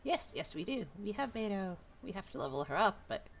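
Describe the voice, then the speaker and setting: A woman's voice: medium pitch, very animated, brisk. A woman game streamer giving casual live commentary as she plays. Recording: game music behind voice.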